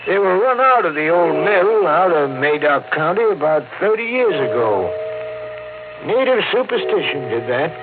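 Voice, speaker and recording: An actor playing a grave, unnerved county sheriff with halting, deliberate speech in 1950s radio horror style. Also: male voice, a little high, sing-song. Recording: tinny noisy old radio recording.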